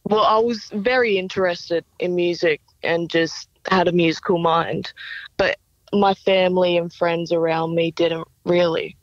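Slow, soft-spoken young woman. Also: on the low side, fairly even intonation, dry recording. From Australia. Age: young adult. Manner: soft-spoken, hesitant, with many fillers. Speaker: singer-songwriter guest. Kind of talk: radio interview. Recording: dull remote line.

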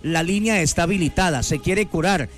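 A man hosting a radio slot for a faith healer, delivering his pitch in a sing-song way and rattling off phone numbers. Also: fairly quick. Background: soft background music.

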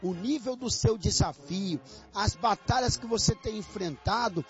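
A man's voice: sits high, unhurried. A Brazilian man giving a religious invitation as a church announcer, in dull lo-fi sound with music behind him. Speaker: church announcer introducing the pastor. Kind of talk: religious radio-style invitation over music.

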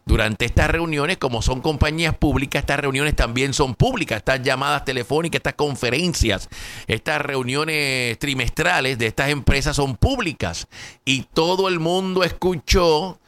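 A man's voice: fairly high pitch, normal speed, fairly bright tone. A man with a Caribbean Spanish accent hosting radio in casual, jokey banter, slipping in English phrases. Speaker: radio DJ host.

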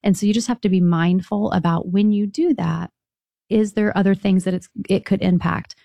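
A woman's voice: mid-range voice, fairly even intonation, moderate pace, vocal fry, no room sound, highs cut off. A middle-aged woman, a financial advisor, explaining retirement money matters in a conversational way on a call-in show. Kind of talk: radio call-in advice chat.

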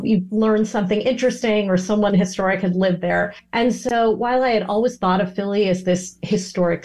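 A woman's voice: medium pitch, darkish tone. A middle-aged woman from California with an American accent, talking conversationally as an interview guest. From California, United States. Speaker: interview guest, doctor and novelist.

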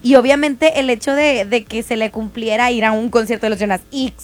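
A woman's voice: fairly high pitch, fairly even intonation, a little fast, faint music behind. A young Mexican woman hosting a show, chatting in a gushing, slangy way about celebrity news. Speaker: radio show host. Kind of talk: casual entertainment chat.

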